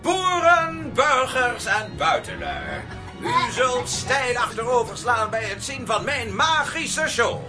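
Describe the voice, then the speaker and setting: Bombastic high-voiced fairground magician. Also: male voice, huge pitch swings, takes it slowly, heavily acted. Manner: bombastic, declamatory. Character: grandiose, mysterious fairground magician and showman. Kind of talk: theatrical barker patter in radio play. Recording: loud music and crowd behind.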